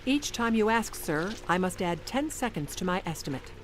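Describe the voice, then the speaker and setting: A woman playing a precise, literal-minded starship commander in an audio drama, formal and deadpan. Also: lowish voice, moderate pace, background noise, natural voice. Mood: dry, exact.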